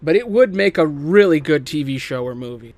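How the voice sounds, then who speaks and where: A man hosting solo in a casual, unscripted ramble, swearing freely and leaning excitedly on his words. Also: fairly high pitch, brisk, no echo. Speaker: host.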